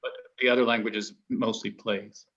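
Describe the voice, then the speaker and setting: A man from Texas, a translator speaking as a guest in a relaxed conversation. He pauses often, trails off and restarts his sentences. The sound is muffled and lo-fi. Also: fairly low pitch. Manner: hesitant, many restarts and ums. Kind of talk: casual panel conversation.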